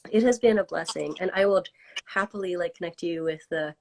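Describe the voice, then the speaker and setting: A woman's voice: on the low side, fairly quick, creaky, a little mellow, low-quality recording. A woman hosting a casual interview over a video call, enthusiastic and encouraging. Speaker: host.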